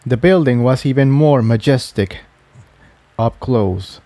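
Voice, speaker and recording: A man's voice: medium pitch, slow pace, warm tone, no echo. A man reading a scripted adventure story aloud as its narrator. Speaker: fan fiction narrator.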